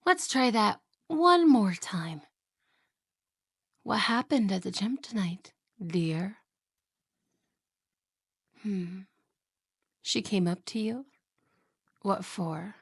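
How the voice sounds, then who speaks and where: A jealous, possessive young wife, played by a woman in an ASMR roleplay, purring her words very slowly with a gravelly, creaky edge. She slips into hushed whispers and sounds sweet, yet quietly threatening, close to a dry, dead microphone. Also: mid-range voice, lively intonation, no echo, full character voice. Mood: quietly menacing. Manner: soft, slow, whispery, with giggles.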